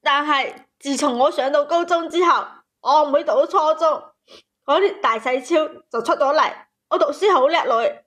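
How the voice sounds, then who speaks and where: High voiced woman phoning in w her personal story, plaintive n kinda aggrieved. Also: normal speed, a bit bright. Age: 30s. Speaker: caller.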